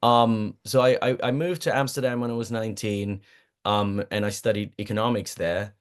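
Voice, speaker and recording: A man's voice: fairly low pitch, fairly even intonation, normal speed, clear, resonant tone. A man whose first language is German, a voice actor guest chatting casually in an interview, rambling and self-deprecating, laughing often and restarting his sentences.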